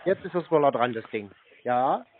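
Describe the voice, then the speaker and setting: A man's voice: mid-range voice, animated, moderate pace. A man making a teasing prank phone call, mocking and crude, tossing out cheeky pet names. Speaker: scambaiting prank caller and show host. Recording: dry, band-limited phone-call recording.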